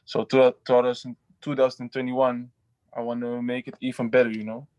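Halting footballer man, flat voice. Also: medium pitch, no room sound. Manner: halting, restarts often, simple phrasing. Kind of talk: interview answers.

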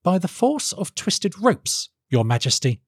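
A male reader plays an earnest young man in a storybook, pleading before a king. His voice is pitched high and swings with insistence, slightly husky at the edges. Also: big pitch swings, unhurried, no echo, studio quality, full character voice. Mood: eager, alarmed. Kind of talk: audiobook character voice.

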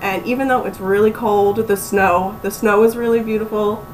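A young woman from Pennsylvania, chatting casually as a small business owner in an interview, relaxed and full of ums. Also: mid-range voice, moderate pace, far from the mic, a little hiss or hum, poor audio. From Pennsylvania, USA. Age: young adult. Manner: relaxed, chatty, laughs easily, many ums.

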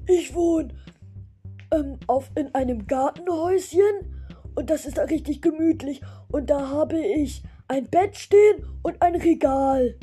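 A woman's voice: high-pitched, warm tone, faint music behind. Woman putting on a giggly little cactus. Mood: goofy. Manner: giggles a lot, hesitant, drawn-out filler sounds. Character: giggly little male cactus, silly and easily amused. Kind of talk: podcast interview guest, playful improvisation.

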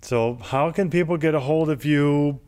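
A man's voice: on the high side, moderate pace, darkish tone, clean tone. Rambling, chatty male interview host. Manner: rambling, reminiscing with many "like"s. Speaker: show host. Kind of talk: casual interview chat.

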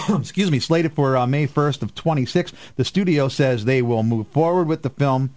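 A man co-hosting a radio show, chatting casually about pop culture with animated, joking exasperation. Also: medium pitch, normal speed.

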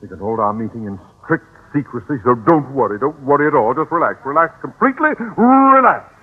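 A man's voice: fairly high pitch, big pitch swings, brisk. An actor playing a pompous, blustering, jittery male school principal with a conspiratorial manner in a 1950s radio sitcom. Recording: dull, hissy old radio broadcast.

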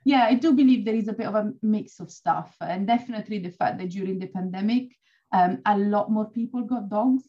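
A woman's voice: mid-range voice, brisk, warm tone, muffled recording. A woman with a Swiss Italian accent, a guest explaining her views at length in a casual chat. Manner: thoughtful, explains at length with fillers. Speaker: dog behaviour guest.

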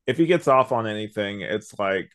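A man co-hosting a podcast in casual chat, explaining things in a rambling, halting way with many false starts. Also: lowish voice, lively intonation, a bit bright. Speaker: podcast co-host reviewing an audio drama.

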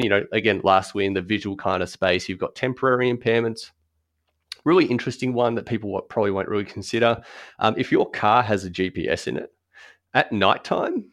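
A man explaining accessible design in a relaxed podcast conversation, thoughtful, with frequent ums and restarts. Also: low voice, no room sound, clean studio sound. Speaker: guest expert on accessible design.

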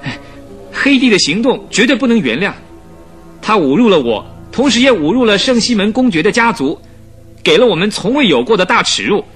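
High-pitched, indignant young nobleman. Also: big pitch swings, fairly quick, fairly bright tone, soft background music. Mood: outraged. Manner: haughty, sighing, aggrieved. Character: vain, willful young aristocrat, indignant and proud. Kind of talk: radio drama acting in Mandarin.

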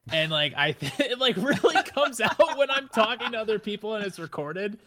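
A man from the Midwest hosting a casual podcast, with a nasal voice, rambling and excitable, rising high when he gets worked up. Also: moderate pace, a little hiss or hum. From American Midwest. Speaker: podcast host.

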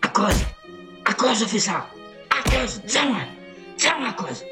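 A man's voice: high voice, animated, unhurried, over music. A man playing an enraged, anguished brother in an audio drama, pleading and crying out in repeated phrases. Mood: anguished rage.

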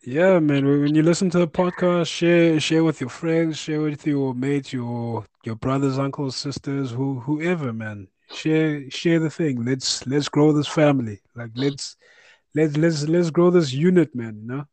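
A man co-hosting a casual MMA podcast over a remote call, relaxed and loose, repeating words and calling people "bro" and "man". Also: on the high side, a little slow, dry recording, low-quality recording. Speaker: podcast co-host.

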